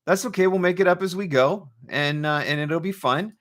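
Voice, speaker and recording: A man's voice: on the high side, moderate pace, a bit bright. A man hosting a casual, off-the-cuff livestream as a coach, chatting informally with a playful, teasing streak. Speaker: livestream host and voiceover marketing coach. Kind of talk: casual live chat, off the cuff.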